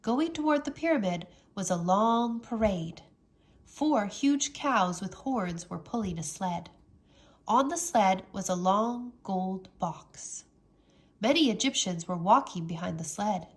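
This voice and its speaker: A woman reading a children's storybook aloud as a teacher, with expressive, dramatic narration. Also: mid-range voice, slow pace.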